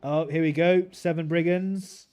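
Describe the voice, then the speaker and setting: A man's voice: fairly high pitch, fairly even intonation, unhurried, a little mellow, smooth, faint music behind. A British man casually commentating his gameplay on a livestream and chatting with his viewers. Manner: easygoing, chats with viewers while playing. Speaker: streamer.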